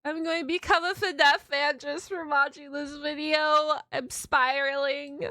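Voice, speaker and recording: A woman hosting a reaction video, commenting casually in an excitable, rambling, slangy way. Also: sits high, measured pace, dry recording.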